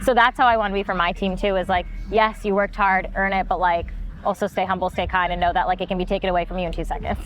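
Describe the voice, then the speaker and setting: Young woman, podcast guest. Rapid, animated, casual delivery. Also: medium pitch, soft background music, low-quality recording. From United States. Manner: fast, animated, heavy use of "like", laughs often. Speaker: guest, social media manager.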